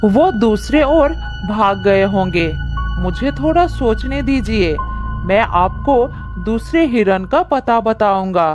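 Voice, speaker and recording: A woman voicing a wily, pleading donkey in a cartoon moral story, deferential and flattering in a drawn-out way. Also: on the high side. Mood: placating. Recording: music under the voice.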